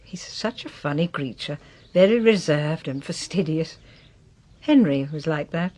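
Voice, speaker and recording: An actress playing a proud, wistful English widow in her sixties who is ailing, in a solemn, measured radio drama style. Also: low voice, lively intonation, darkish tone. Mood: reflective. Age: 60s.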